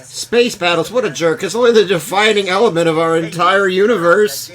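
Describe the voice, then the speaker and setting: A man's voice: high-pitched, brisk, fairly bright tone. A man hosting a reaction series with casual, sarcastic commentary and playful, mock-dramatic asides.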